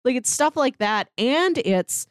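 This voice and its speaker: A woman's voice: fairly high pitch, animated, unhurried, clear, resonant tone, dry recording, studio quality. A woman co-hosting a podcast, talking in a casual review style. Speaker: podcast co-host.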